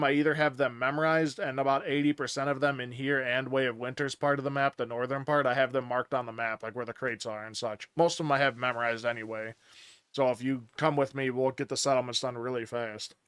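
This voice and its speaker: A man's voice: medium pitch, steady tone, brisk, a bit bright, clean tone, dry recording. A man streaming a game, chatting casually and explaining at length with many restarts, on a headset mic. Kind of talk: casual gaming chat.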